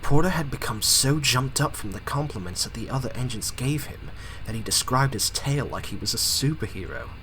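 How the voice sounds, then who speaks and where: A man's voice: mid-range voice, expressive, normal speed, noisy background. A man reading scripted storybook narration as the narrator of an audio drama. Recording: loud music under the voice. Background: loud music.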